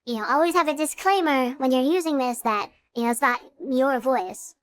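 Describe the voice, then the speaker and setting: A man's voice: extremely high pitch, normal speed, fairly bright tone, clean tone, pitched above the performer's own voice. A man voicing a girlish anime character through an AI voice changer, talking casually in a tutorial. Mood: casual, easygoing.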